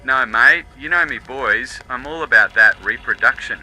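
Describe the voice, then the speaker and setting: A man's voice: medium pitch, normal speed, bright, forceful tone, poor audio. A man playing a cocky, laddish recon worker with a brash, teasing manner in a dramatised audiobook. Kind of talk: dramatised audiobook radio dialogue.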